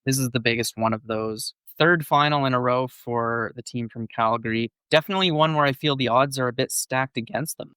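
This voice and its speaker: Smooth-voiced podcast co-host. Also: male voice, medium pitch, brisk, few pauses, sharp tone, no room sound. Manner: fluent, explanatory. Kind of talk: casual sports podcast chat.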